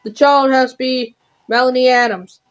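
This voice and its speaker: Slow, high-voiced announcer, female. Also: steady tone. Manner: halting, deliberate delivery with stumbles. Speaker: announcer of a mock emergency alert. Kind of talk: improvised mock emergency alert announcement.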